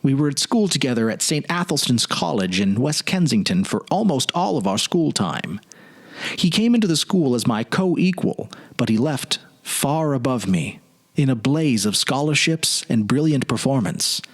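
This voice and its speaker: A man giving a dramatic reading of a literary story, with deliberate phrasing and expressive pauses. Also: mid-range voice. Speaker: presenter and narrator.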